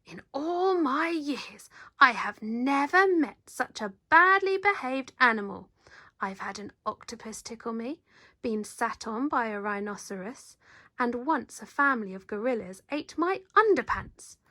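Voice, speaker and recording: A woman voicing an indignant television man in a storybook, scolding with huffy, deliberate emphasis. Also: a little high, big pitch swings, pushed and bright, no echo, clean studio sound, heavily acted. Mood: furious.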